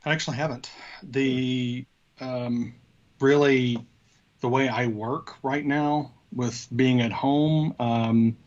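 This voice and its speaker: A man co-hosting a tech talk show, chatting casually and haltingly, rephrasing as he goes. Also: mid-range voice, steady tone, a little mellow, highs cut off. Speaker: show co-host. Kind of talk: casual conversation.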